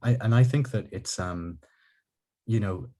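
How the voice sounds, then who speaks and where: A man raised in Ireland, hosting a video-call interview from his living room, speaking thoughtfully with many pauses and restarts. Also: fairly low pitch, warm tone, slight background noise. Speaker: podcast host. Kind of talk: reflective conversational interview.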